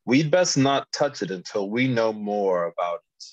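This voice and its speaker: Fire-slinging cartoon wizard, acted by a man, deliberate and theatrical, with very lively intonation. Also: on the low side, dry recording, muffled recording. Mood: measured caution. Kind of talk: animated fantasy table read.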